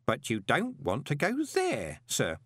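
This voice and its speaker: A man's voice: high-pitched, wildly swooping pitch, normal speed, a bit bright, no room sound, studio quality, pitched above the performer's own voice, full character voice. A man voicing a chatty, deferential elderly postal worker with a rambling, conspiratorial way of talking. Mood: soothing. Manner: gossipy, rambling, ingratiating.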